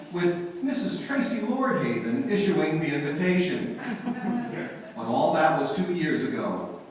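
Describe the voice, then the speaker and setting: A man playing a formal, declamatory 1940s radio drama announcer, reading scripted narration. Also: fairly high pitch. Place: live performance in a library. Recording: very echoey hall, distant, faint noise.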